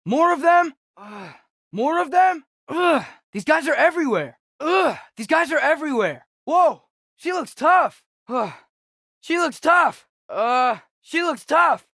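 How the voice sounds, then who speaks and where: A man's voice: very high-pitched, very animated, takes it slowly, creaky, sharp tone, no echo, studio quality, heavily acted. A young male actor with an American accent voicing an eager, earnest teenage boy archer in video game combat callouts. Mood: alarmed, urgent. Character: eager teenage boy warrior archer, loyal, quick to react.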